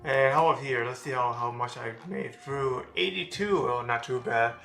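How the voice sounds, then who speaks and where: A man's voice: medium pitch, normal speed, vocal fry, pushed and bright. A man streaming a video game, commenting casually in a rambling way with lots of fillers. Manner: rambling, with many fillers and restarts. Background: game music.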